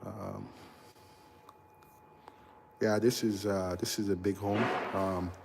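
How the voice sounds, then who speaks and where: Low, flat-voiced man. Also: vocal fry, background noise. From Barbados. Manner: halting, chatty, reads out and answers viewers' comments. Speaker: electrical contractor. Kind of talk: casual live-stream walkthrough. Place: large unfinished house under construction, indoors.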